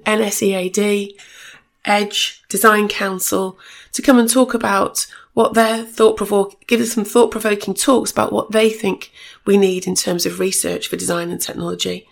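A woman hosting a solo podcast with a slightly croaky voice, giving a chatty, informative update and correcting herself as she goes. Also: medium pitch. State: a bit croaky voice. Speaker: podcast host.

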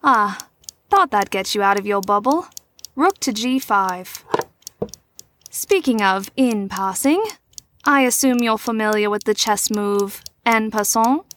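A woman playing a shrewd, teasing adult mentor to troubled teens in an audio drama, with a measured, knowing, probing delivery. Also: mid-range voice, lively intonation, a bit bright, a little hiss or hum.

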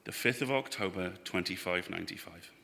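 A methodical spacefarer, works through computer logs with clipped persistence. The voice is low and forceful, echoing in a hall at a live science fiction drama. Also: male voice, fairly quick, creaky, full character voice. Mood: methodical, persistent. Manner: clipped, reciting dates one by one. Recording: echoey hall, live stage.

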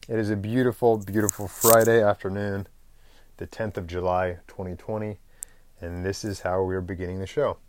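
A man hosting his own podcast, talking off the cuff in a loose, rambling way, often pausing on "um" and clearing his throat. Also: low voice, little pitch movement, a little mellow. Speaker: podcast host. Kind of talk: unscripted solo podcast monologue.

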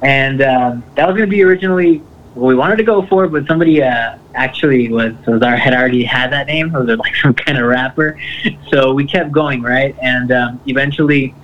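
A man, a band's keyboardist and bassist, telling a story in a loose, rambling way full of fillers. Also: fairly quick, lo-fi sound, slight background noise. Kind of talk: casual interview.

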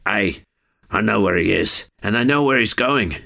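A male narrator voicing a rough-spoken, terse man with dry, folksy speech. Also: on the low side, animated, normal speed, pushed and bright, exaggerated voice. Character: gruff rough-spoken man, laconic scout.

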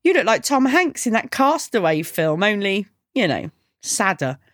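A woman voicing a brash, sarcastic young punk woman in audiobook dialogue, deadpan and taunting. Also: medium pitch, moderate pace, no echo, studio quality, lightly acted. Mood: deadpan teasing.

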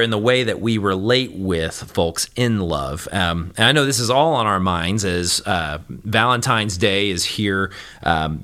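Deep-voiced man, bright and joking. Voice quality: deep bass voice. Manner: relaxed, joking, with fillers and restarts. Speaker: podcast co-host. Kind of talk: casual chat.

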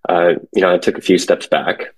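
A young man in his twenties, born in Korea and raised in California, speaking as a podcast guest in a relaxed, hesitant way with many fillers. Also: sits low, brisk, slightly rough. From born in Korea, raised in San Francisco Bay Area. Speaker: guest, commercial real estate associate. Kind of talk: casual podcast conversation.

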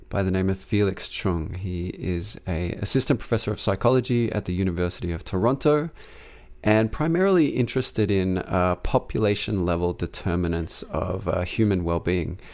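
A man hosting a podcast who asks long, halting questions with frequent "um"s. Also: sits low, a little mellow. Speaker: podcast host. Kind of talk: podcast interview.

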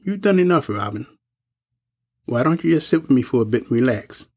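An actor playing a frail, kindly old soldier, husky and folksy, in an audio drama. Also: male voice, medium pitch, expressive, fairly quick. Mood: gentle, fond. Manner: folksy, gentle.

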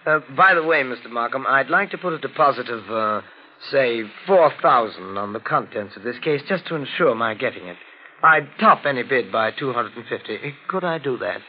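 An actor playing a wealthy, self-interested art collector in a 1940s radio drama, hearty, courteous and effusive. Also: male voice, medium pitch, animated, normal speed, close to an everyday voice. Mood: smooth, businesslike. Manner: genial, polished, effusive. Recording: dull, noisy old radio recording.

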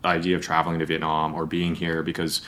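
A man's voice: very deep voice, completely flat delivery, fast, a bit bright. A young man hosting a podcast, asking long, rambling, conversational questions. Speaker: podcast host. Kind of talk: podcast interview.

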